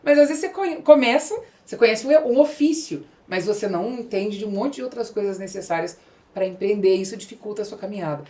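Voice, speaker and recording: A woman's voice: medium pitch, animated, fast, dark tone. A middle-aged woman with a Brazilian accent hosting a podcast, explaining her views in a conversational way. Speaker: podcast host, business growth specialist.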